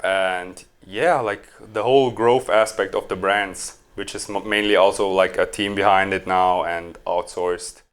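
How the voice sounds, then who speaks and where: A man's voice: sits low, steady tone, measured pace, hardly any pauses. A man from Switzerland chatting casually as a podcast guest, informal and peppering his explanations with filler words. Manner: informal, frequent hedging with "like". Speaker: podcast guest, online entrepreneur. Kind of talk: casual interview chat.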